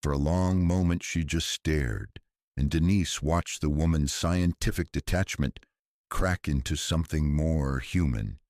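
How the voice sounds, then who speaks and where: A man narrating a scripted thriller story in a measured, serious manner. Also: extremely low pitch, darkish tone, dry recording, studio quality. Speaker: audiobook narrator.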